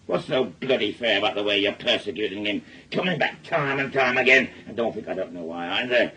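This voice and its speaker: A man's voice: fairly low pitch, animated, brisk, pushed and bright, a little hiss or hum. An actor playing an angry, protective working-class father in a radio drama, blunt and accusing, with a Northern English accent. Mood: angry, defiant.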